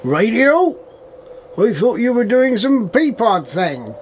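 Man acting a high-voiced rustic English farm manager. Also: sing-song, slow pace, a little hiss or hum, full character voice. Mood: puzzled. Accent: rural English. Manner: folksy dialect, addresses others as young lad. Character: gruff old-fashioned male farm manager, suspicious yet chatty. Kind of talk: audio drama acting.

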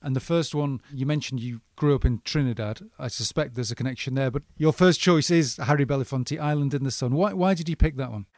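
A man interviewing a guest, asking gentle, measured questions. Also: mid-range voice, no echo, studio quality. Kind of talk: radio interview.

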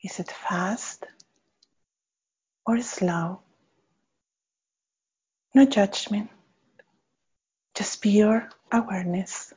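Woman, meditation guide, very slow, gentle, mellow tone, short phrases with pauses, slightly muffled recording. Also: mid-range voice, breathy edge. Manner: gentle, unhurried, pausing between short phrases. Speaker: meditation guide, faculty member. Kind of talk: guided body scan meditation. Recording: slightly dull, muffled audio.

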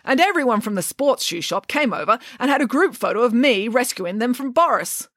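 Storybook lady pig, played by a woman: brazen, haughty, swooping pitch, emphatic and scornful. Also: animated, brisk, fairly bright tone, no echo, clean studio sound. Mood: scornful, boastful. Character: imperious, theatrical female pig, brazen and scornful.

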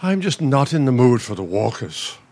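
A man's voice: mid-range voice, very animated, normal speed, darkish tone. Casting: man to play needling older American husband in radio drama, sardonic, grumpy, widely varied intonation. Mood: sardonic, gloomy.

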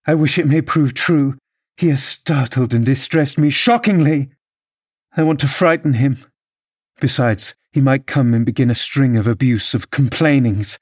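A man's voice: medium pitch, normal speed, a little mellow, breathy edge, close to an everyday voice. A man voicing an impassioned, scornful young married woman with an imperious, theatrical manner in an audiobook novel. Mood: agitated, self-pitying. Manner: theatrical, emphatic, exclamatory.

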